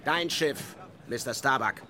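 An actor playing an obsessed, commanding old whaling captain in a German radio play, barking orders and brooding with menace. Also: male voice, medium pitch, measured pace, background noise, lightly acted. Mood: grim, brooding.

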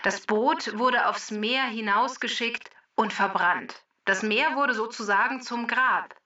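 A woman moderating a children's quiz with scripted, deliberate announcements, heard in a distant, dull recording. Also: a little high, pushed and bright, slight background noise. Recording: distant, dull band-limited sound.

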